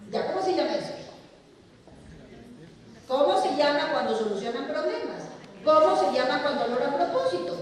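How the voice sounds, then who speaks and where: A woman's voice: high voice, lively intonation, normal speed, long pauses. A middle-aged Peruvian woman with a Peruvian Spanish accent, giving a talk to teachers and often asking questions and answering them herself. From Peru. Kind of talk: lecture to a hall of teachers. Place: large lecture hall. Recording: echoey hall recorded from far off.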